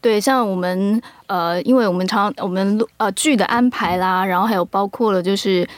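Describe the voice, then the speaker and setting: A woman with a Taiwanese Mandarin accent talks as an interview guest, explaining her production work in a conversational way. Her pitch sits in the middle range, and the sound is very dry. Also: moderate pace, dry recording. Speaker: guest, audio drama producer. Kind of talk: casual interview chat.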